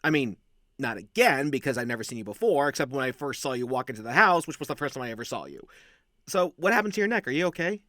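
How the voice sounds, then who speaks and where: A man's voice: medium pitch, animated, fast talker, sharp tone, no room sound, clean studio sound. A man playing an awkward, chatty young werewolf in a casual audio roleplay, rambling and blurting like a cheeky little brother. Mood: awkward, eager.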